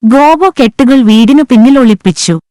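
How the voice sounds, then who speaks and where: A woman's voice: high voice, normal speed, warm tone, no echo, clean studio sound. A woman reading scripted moral stories for children as the narrator. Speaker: story narrator. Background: background music.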